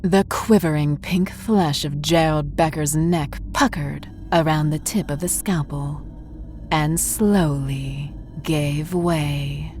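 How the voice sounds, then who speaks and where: A woman's voice: sits low, steady tone, takes it slowly, darkish tone, faint music behind. A woman narrator reading a scripted horror story.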